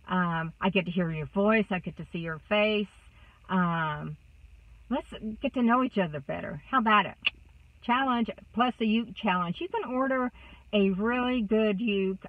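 A woman's voice: medium pitch, no echo, distant mic. Chatty Texan woman in her sixties. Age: 60s. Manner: chatty, warm, rambling with many fillers. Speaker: vlogger. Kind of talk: casual chat to camera. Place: inside a parked car. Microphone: phone camera close up. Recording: dry, thin phone-like sound.

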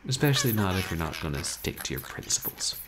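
A man in his thirties, a game streamer chatting casually at home in a wry, rambling, animated way. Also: low-pitched, fast, noisy background. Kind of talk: casual livestream chat. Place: small room at home, indoors.

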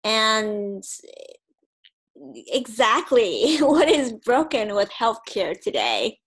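A woman's voice: on the high side, very animated, extremely slow, breathy edge. A woman hosting a podcast, interviewing a guest in a conversational style. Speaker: podcast host.

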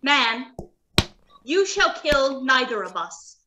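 Radio-play robot lover, earnest and defiant, played by a woman: high, forceful, measured. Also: big pitch swings, noisy background, full character voice. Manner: earnest, declamatory. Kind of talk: 1930s radio drama acting. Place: indoors on a video call.

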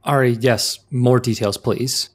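Inquiring spaceship crewman man acting, level-headed, husky edge, radio play. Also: mid-range voice, fairly even intonation, unhurried. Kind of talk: audio drama dialogue.

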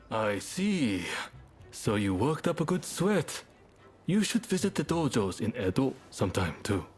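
An actor playing an earnest, composed samurai strategist in a video game, measured and serious. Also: male voice, medium pitch. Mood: friendly. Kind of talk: video game cutscene acting. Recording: faint music behind the voice.

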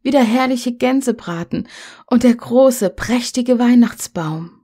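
A woman's voice: on the high side, a little slow, dark tone, pitched above the performer's own voice. Woman acting out a desperate, pleading little girl. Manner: urgent, emotional pleading.

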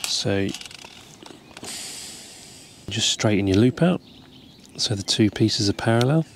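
A man, calmly explaining step by step how to tie a fishing rig, recorded outdoors. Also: fairly low pitch, slow, gravelly, slight background noise. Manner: relaxed, explains each step while tying. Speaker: carp fishing angler demonstrating a rig. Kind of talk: casual step-by-step tutorial. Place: outdoors by the water, seated over a tackle box.

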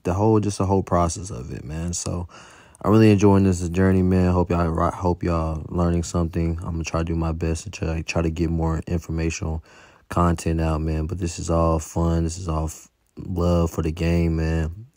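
A man's voice: low voice, level tone, moderate pace, darkish tone, no echo, clean studio sound. A young American man giving a casual motivational fitness voice-over in a slangy, encouraging way. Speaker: fitness vlogger.

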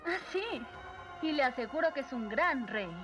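A woman's voice: sits high, animated, moderate pace, over music. A woman playing a young, principled guard captain in a cartoon, polite but outspoken, with a Latin American Spanish accent. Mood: courteous. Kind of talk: dubbed animated series acting.

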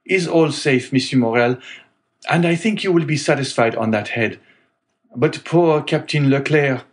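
A man voicing an earnest young sailor, pitched high. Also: measured pace, lightly acted. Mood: grave. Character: young male sailor, calm, resolute, grieving.

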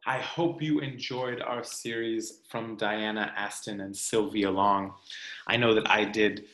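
A man reads a picture book aloud in an expressive, deliberate, teacherly way. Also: lowish voice. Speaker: children's read-aloud presenter. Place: indoor room at home. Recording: roomy home webcam sound.

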